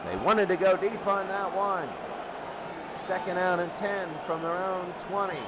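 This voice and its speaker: High-pitched American man calling football. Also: measured pace. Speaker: football play-by-play announcer. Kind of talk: sports commentary. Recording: very noisy amateur broadcast. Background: loud ambient noise.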